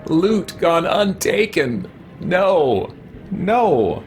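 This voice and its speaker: A man's voice: sits high, big pitch swings, drawn-out words, soft background music. A man giving casual let's play commentary, thinking aloud and muttering to himself as he plays. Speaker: gaming channel host.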